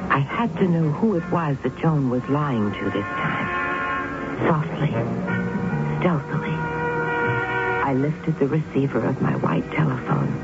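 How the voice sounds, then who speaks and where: An actress playing an obsessed, nervy woman in a 1970s radio drama, speaking with intense, deliberate dramatic delivery. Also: low voice. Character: obsessed, nervy woman convinced her sister is possessed. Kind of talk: 1970s radio drama acting, first-person narration. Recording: muffled phone-like radio recording, loud music.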